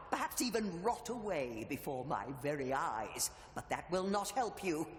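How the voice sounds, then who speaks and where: An actor playing a bitter, suspicious manor-dweller in a video game, with grandiose, archaic, menacing diction. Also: male voice, fairly high pitch, fairly bright tone, heavily acted. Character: bitter, theatrical, suspicious sibling in a gloomy manor. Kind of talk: scripted dramatic game dialogue. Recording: echoing hall, long reverb, faint hiss.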